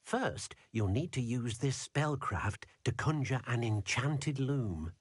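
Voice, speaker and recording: A small, kindly house-elf for a fantasy video game. His voice is husky and swings widely in pitch, recorded dry and close. He instructs eagerly and gently, speaking of himself in the third person. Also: expressive, slow pace, dry recording. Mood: helpful, instructive. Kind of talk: scripted video game character dialogue.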